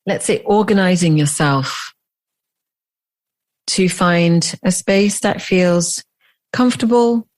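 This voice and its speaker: A woman leading a guided meditation as a teacher, speaking in deliberate phrases with long pauses. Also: fairly low pitch, darkish tone.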